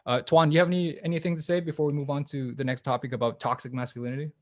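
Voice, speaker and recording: Fast-talking male panel host. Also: on the high side, flat delivery, a little mellow. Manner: hesitant, many fillers and restarts. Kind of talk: moderating an online panel discussion.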